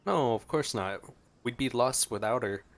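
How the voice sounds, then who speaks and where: A man's voice: mid-range voice, moderate pace, very noisy. A man giving casual gameplay commentary as a streamer, thinking aloud in an informal, trailing way. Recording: game music behind the voice.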